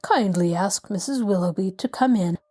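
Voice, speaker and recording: A woman voicing a courteous middle-aged male lawyer who speaks in a measured, reassuring way. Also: medium pitch, a little mellow, lightly acted. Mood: calm.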